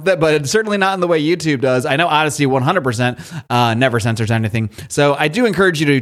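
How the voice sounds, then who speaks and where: A man hosting a podcast, talking in a chatty, informal, conversational way as he addresses listeners and guests. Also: medium pitch. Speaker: podcast host. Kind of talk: conversational podcast intro and interview.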